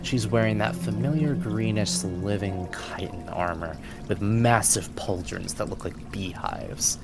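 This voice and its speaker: A man narrating a tabletop fantasy game as game master, in a deliberate, descriptive storytelling manner. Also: lowish voice, loud music behind the voice.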